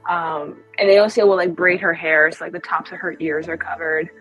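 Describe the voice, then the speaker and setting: A woman's voice: on the low side, steady tone, normal speed, fairly bright tone, soft background music, rough recording. A woman playing a tabletop roleplaying game, chatty and descriptive, with lots of fillers. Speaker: player. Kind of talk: casual tabletop roleplay chat.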